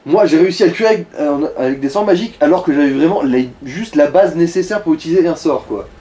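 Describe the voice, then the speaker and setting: A man's voice: a little high, fast talker, mellow. A man chatting casually and colloquially as he guides someone through a video game, with some swearing. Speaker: co-commentator guiding the player. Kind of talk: casual gaming chat.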